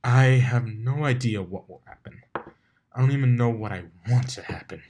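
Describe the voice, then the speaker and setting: A man's voice: medium pitch, normal speed, darkish tone, slight background noise, natural voice. A man voicing a touchy, easily flustered young male pegasus pony in a fan fiction reading. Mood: embarrassed. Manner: indignant, stammering. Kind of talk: acted fan fiction dialogue.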